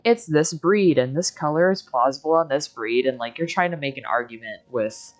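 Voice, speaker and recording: Woman, low pitch, casual conversational delivery, game livestreamer. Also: moderate pace. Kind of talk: casual chat while playing a game.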